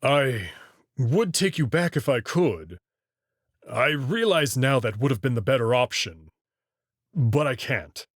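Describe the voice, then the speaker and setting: A man's voice: medium pitch, lively intonation, slow pace, no echo, clean studio sound. A man voicing a proud but guarded young male wolf alien prince in a visual novel, explaining himself earnestly and reluctantly. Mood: defensive, regretful. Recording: clear music behind the voice.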